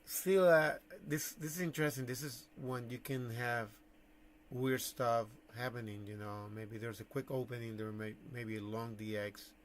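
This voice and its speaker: A man giving casual livestream commentary as a radio hobbyist, thinking aloud in halting phrases. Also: mid-range voice, fairly even intonation, a little hiss or hum. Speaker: amateur radio operator, livestream host.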